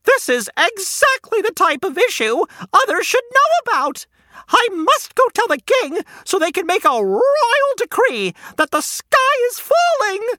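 A freaked-out hen, super high and squawky, all over the place. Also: big pitch swings, unhurried, pushed and bright, dry recording, studio quality, exaggerated voice. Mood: frantic. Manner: shrill frantic squawking. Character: panicky hen shouting the sky is falling.

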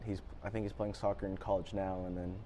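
A man's voice: deep voice, level tone, fast, warm tone, a little hiss or hum. A teenage boy born in Japan, answering interview questions politely and often saying "yes, sir". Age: teens. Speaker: student athlete guest.

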